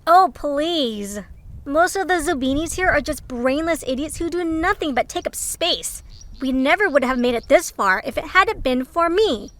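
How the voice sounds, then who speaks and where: A woman's voice: sits high, expressive, moderate pace. A woman playing a haughty, condescending young female creature with easily hurt pride in an amateur audio drama. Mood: scornful. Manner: scornful, condescending.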